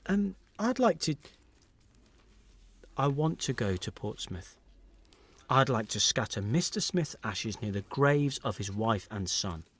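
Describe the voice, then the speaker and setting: A man's voice: medium pitch, very animated, unhurried, a bit bright, slightly rough, exaggerated voice. An actor playing a troubled, conscientious man stuck in a dead-end job, in an audio drama with first-person narration. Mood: quiet, insistent.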